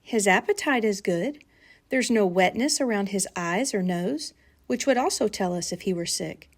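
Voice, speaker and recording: Storybook primary teacher, read by a woman: gentle, patient, explanatory, lively pitch movement. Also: moderate pace. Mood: reassuring, explaining. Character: gentle, reasoning female primary school teacher.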